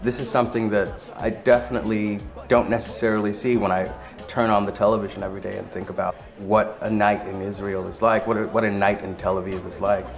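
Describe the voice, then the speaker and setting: A man narrating a documentary in a measured, reflective and articulate way. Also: fairly low pitch, level tone, gravelly, faint music behind. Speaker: visual artist presenting the film.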